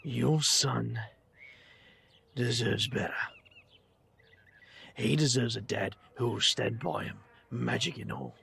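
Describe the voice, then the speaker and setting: A man playing a blunt, protective outsider and awkward mentor in an audio drama, halting but earnest. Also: medium pitch, steady tone, vocal fry, a bit bright, husky, exaggerated voice. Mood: defiant, indignant.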